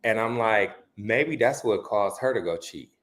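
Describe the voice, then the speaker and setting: A man's voice: on the low side, lively intonation, a little slow, fairly bright tone. A young man from the Midwest, a guest in a casual chat about reality TV, gossiping and acting out the voices of people he quotes.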